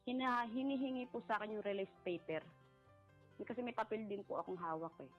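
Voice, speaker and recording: A middle-aged woman, giving a personal account in a polite, halting way and often repeating herself. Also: medium pitch. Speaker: caller seeking advice. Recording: muffled lo-fi voice over background music.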